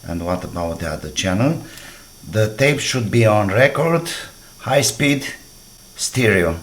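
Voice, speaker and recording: Slow-paced, low-voiced man demonstrating a repair. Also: lively intonation. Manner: halting, short phrases, thinking aloud. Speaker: DIY electronics repair presenter. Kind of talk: hands-on repair demonstration, talking while working.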